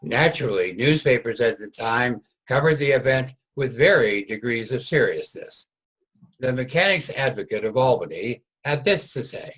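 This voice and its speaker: A man's voice: mid-range voice, expressive, moderate pace, fairly bright tone, slightly rough, low-quality recording. A man narrating a scripted historical reader's theater piece.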